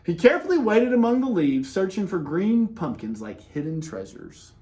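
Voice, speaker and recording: Man, slightly high voice, very lively intonation, children's read-aloud, expressive emphasis, dramatic pauses. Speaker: storyteller reading a children's picture book. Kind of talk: animated read-aloud with casual asides.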